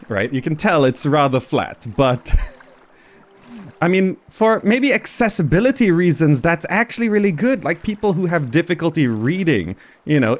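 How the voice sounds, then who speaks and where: A man hosting a gaming video with casual, off-the-cuff commentary, often repeating words as he muses aloud. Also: medium pitch.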